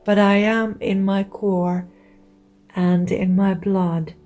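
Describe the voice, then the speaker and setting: A woman's voice: medium pitch, flat delivery, slow pace, a little mellow. A middle-aged woman from Finland with a Finnish accent, an artist speaking earnestly and heartfelt to camera, with faint music behind. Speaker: visual artist. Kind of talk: monologue to camera.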